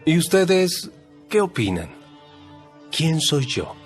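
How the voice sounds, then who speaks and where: An earnest, animated male teacher over music. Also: on the high side, slow pace. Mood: solemn. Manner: emphatic, questioning. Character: Jesus, earnest male teacher admonishing his followers. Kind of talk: dramatized audio Bible acting. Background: background music.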